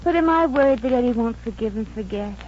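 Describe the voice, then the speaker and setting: An actress playing a brash, wisecracking young working-class fiancée in a 1950s radio comedy, with slangy, sassy patter. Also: female voice, a little high, lively intonation, fast talker, darkish tone, lightly acted. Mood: wry. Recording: dull, noisy old radio recording.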